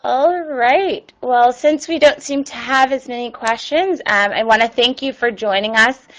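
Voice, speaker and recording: A woman's voice: a little high, measured pace, fairly bright tone. Woman presenting, many ums. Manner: reads viewer questions aloud. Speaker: webinar presenter. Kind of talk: presenting and answering questions.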